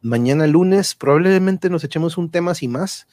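A man's voice: a little high, normal speed, a little mellow, no echo, clean studio sound. A man with a Mexican accent hosting a casual live-stream chat, informal and sociable, dropping in English words now and then. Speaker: host of an online live show.

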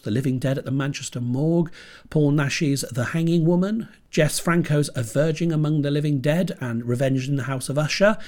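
A man from Britain hosts his horror podcast from his computer desk at home, with a stuffy nose from a cold. His pitch rises and falls a lot. His scripted delivery is dry and self-deprecating. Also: darkish tone. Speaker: podcast host.

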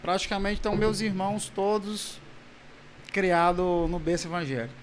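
A Brazilian man in his forties, a pastor, talking casually in an interview about his family and childhood, halting with restarts. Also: high voice, fairly even intonation, slight background noise. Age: 40s. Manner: halting, with frequent restarts and soft laughs.

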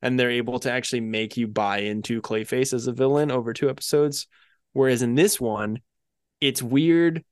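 A man's voice: medium pitch, fairly even intonation, moderate pace, smooth, no room sound, clean studio sound. A man co-hosting a podcast, talking casually and conversationally as he reviews episodes, with many filler phrases. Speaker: podcast co-host.

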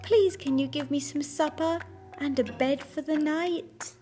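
A woman voicing a weary young boy in a storybook, pleading in a high voice. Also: fairly even intonation, unhurried, music in the background. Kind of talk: storybook read-aloud.

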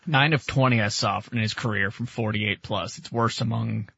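A man's voice: lowish voice, steady tone, normal speed, gravelly, bright, forceful tone, husky, dull audio. A man co-hosting sports talk, chiming in with short factual comments and statistics. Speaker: sports show co-host.

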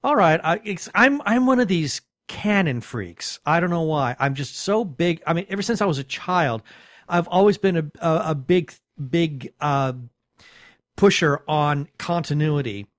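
A man's voice: a little high, lively intonation, measured pace, no room sound, studio quality. A man co-hosting a casual geek podcast, talking emphatically with stammering restarts. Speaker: podcast co-host. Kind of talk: casual chat.

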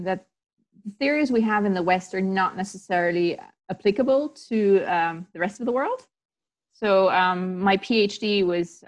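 This is a woman in her thirties, raised in Tanzania, guesting on a sports podcast. She tells stories loosely, full of ums and restarts, at a middling pitch. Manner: chatty storytelling with many fillers and restarts. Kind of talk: casual interview talk.

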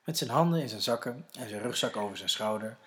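A man's voice: mid-range voice, animated, fast talker, a bit bright. A man with a Netherlands Dutch accent, narrating a scripted story for children.

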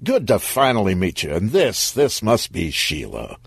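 A man voicing a breezily confident, athletic male executive in a story, bright and easygoing. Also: on the low side, sing-song, moderate pace, husky, dry recording, clean studio sound. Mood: breezy.

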